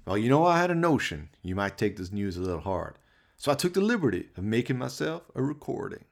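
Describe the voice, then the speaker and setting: A man's voice: mid-range voice, sing-song, fairly quick, vocal fry. A man voicing a smug, sleazy gang leader with cocky, mocking taunts in a comic radio play. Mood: smug mockery.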